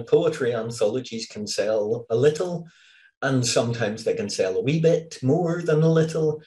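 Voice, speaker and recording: A man's voice: mid-range voice, darkish tone. A man hosting an author interview in a friendly, chatty, upbeat manner. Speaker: interview host. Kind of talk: casual interview.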